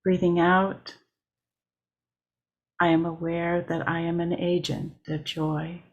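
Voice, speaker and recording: A woman worship leader guiding a meditation in a calm, measured way, with short deliberate phrases. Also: fairly low pitch, dull audio. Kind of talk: guided meditation.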